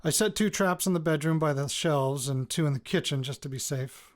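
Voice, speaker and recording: A man's voice: on the high side, a little fast, natural voice. A man with an American accent playing a wry radio reporter in an audio drama, rambling hesitantly into his recorder and interrupting himself. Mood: musing, wry. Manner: hesitant, self-interrupting, wryly joking. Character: rattled, wry young man, radio reporter returning home.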